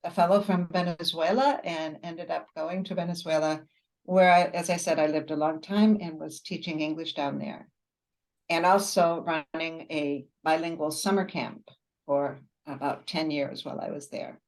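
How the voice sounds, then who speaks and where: An older woman, a professor, telling her background on a video call in a measured, halting, conversational way. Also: low-pitched, fairly even intonation, darkish tone, rough recording. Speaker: university professor. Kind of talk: personal background told on a webinar.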